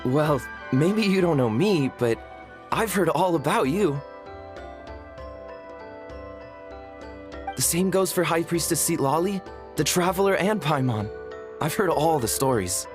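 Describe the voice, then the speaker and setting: A man voicing a soft-hearted, self-doubting youth in a video game, earnest and hesitant. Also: fairly high pitch, animated, normal speed, natural voice. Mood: admiring, polite. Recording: studio voice over game music.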